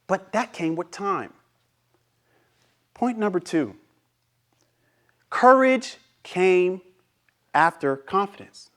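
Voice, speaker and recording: A man from Haiti who grew up speaking Haitian Creole delivers a motivational club speech. His voice sits high and leaps around in pitch, jumping from near shouts to soft, hushed lines. He asks questions to the room and then answers them himself. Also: sing-song, takes it slowly, pauses often. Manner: dramatic, swings between loud and hushed, rhetorical questions. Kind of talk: prepared inspirational speech.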